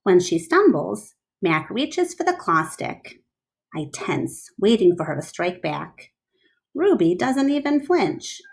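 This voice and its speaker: Slow, animated woman reading aloud. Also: medium pitch, creaky. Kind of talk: expressive storybook read-aloud with asides to listeners.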